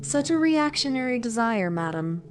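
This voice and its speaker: A woman doing a pompous lecturing gentleman. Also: a little high, very animated, normal speed, faint music behind, pitched above the performer's own voice. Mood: condescending. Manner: lecturing, condescending. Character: pompous bearded progressive gentleman.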